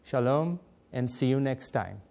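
A middle-aged man with an Israeli accent, Hebrew his first language, teaching a televised Bible lesson in a studio. He gestures a lot as he talks and often pauses on fillers. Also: mid-range voice, creaky. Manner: animated, gesturing, with many fillers. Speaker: Bible teacher and program host. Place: indoor TV studio set.

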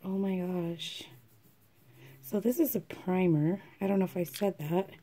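A woman with a froggy, hoarse voice from a cold, chatting casually as she unboxes beauty products at her vanity table, often half-whispering and clearing her throat. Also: on the low side, fairly even intonation, unhurried, dark tone. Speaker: beauty vlogger. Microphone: camera over the table, hands only in view.

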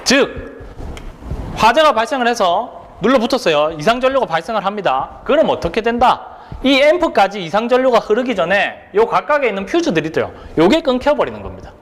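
A man's voice: high-pitched, sing-song, fairly quick, big-room sound. A male instructor giving an exam prep lecture, posing rhetorical questions and checking that students follow along.

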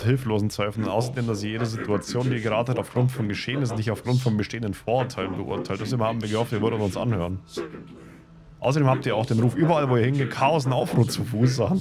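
A man live streaming a game in speech, reading dialogue aloud with stumbles and adding casual comments. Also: medium pitch. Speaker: gaming streamer.

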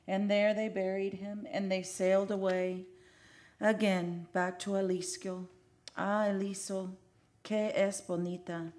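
Casting: actress as lovelorn female ghost, captain's daughter from old Spain. Mid-pitched, solemn, declamatory radio-play style. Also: flat delivery, measured pace, darkish tone, natural voice. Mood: mournful. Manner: solemn, archaic, declamatory phrasing. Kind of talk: old-time radio drama acting.

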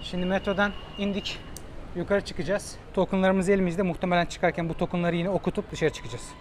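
Casting: male travel vlogger, slightly high voice, casual talk aloud, noisy metro hall behind. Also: little pitch movement, a little fast. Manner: chatty, thinking aloud, switching to English with his companion. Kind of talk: casual travel vlog narration. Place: metro station and train, indoors. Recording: noisy public space.

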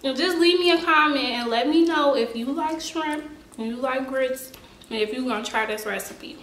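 A woman's voice: sits high, moderate pace, a bit bright, a little hiss or hum, low-quality recording. A young woman hosting a casual home cooking video, talking viewers through a recipe in a chatty, slangy way. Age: young adult. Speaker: cooking vlogger. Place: small home kitchen, indoors.

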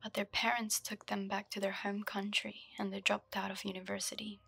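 A young woman reading a story aloud in a slow, soft, breathy ASMR whisper, her voice low. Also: completely flat delivery. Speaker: ASMR host. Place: dark room lit by a candle.

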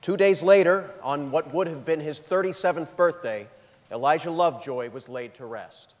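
Somewhat high-voiced male stage narrator. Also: moderate pace. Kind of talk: scripted dramatic narration. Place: large theatre stage. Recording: echoing theatre stage.

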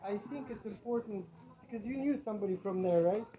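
A woman's voice: medium pitch, fast talker, echoey room, background noise. An American woman vlogging outdoors in a crowded slum, talking casually with many restarts and fillers. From United States. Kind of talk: casual conversation. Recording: muffled camera audio, crowd behind.

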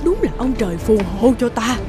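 A woman's voice: on the high side, very animated, brisk, music in the background. A woman voicing a greedy, bossy middle-aged nursemaid villainess in a cartoon fairy tale, with a sly manner. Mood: gloating, sly.

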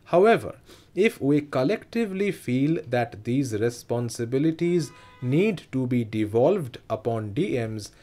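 A man with an Indian English accent reading a parliamentary speech aloud as slow dictation, in measured, evenly spaced phrases. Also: mid-range voice, a little mellow. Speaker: stenography dictation reader.

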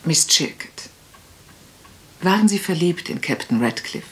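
A woman's voice: lowish voice, animated, unhurried. A woman playing a composed, direct detective's assistant in a radio crime drama, polite but pointed in her questioning. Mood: pointed, firm.

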